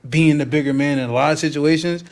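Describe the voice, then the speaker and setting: A man in his twenties with a fairly high voice talks casually with his partner. He is laid-back and jokey, and his speech is slangy. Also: fast. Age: 20s. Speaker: co-host. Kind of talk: casual couple chat.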